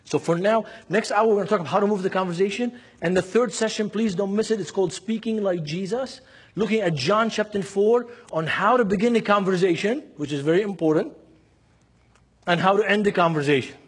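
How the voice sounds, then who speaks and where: Male seminar preacher, Lebanese accent, high husky voice, emphatic storyteller who drives points home by repeating them. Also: fairly quick. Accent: Lebanese Arabic. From Beirut, Lebanon. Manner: emphatic, acts out dialogue, repeats key phrases. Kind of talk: seminar preaching with anecdotes and rhetorical questions.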